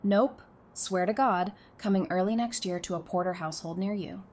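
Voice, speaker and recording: A woman's voice: medium pitch, normal speed, a little mellow, lightly acted. A woman acting a caring, blunt wife and mother with casual, wry banter in an audio drama. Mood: playful. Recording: noticeable background noise.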